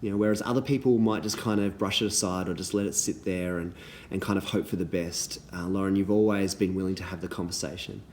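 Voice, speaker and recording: A man, a little hoarse from losing his voice, giving earnest thank-you messages to youth leaders with many ums and restarts. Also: low-pitched, fairly even intonation, fast, darkish tone, a little hiss or hum.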